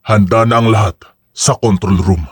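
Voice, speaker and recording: A man playing a deferential, discreet henchman with a husky voice, reporting in a businesslike way in an audio drama. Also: fairly low pitch, steady tone, moderate pace, no echo, studio quality. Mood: obedient, businesslike. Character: deferential male henchman reporting to his crime boss. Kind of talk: dramatized audio story acting.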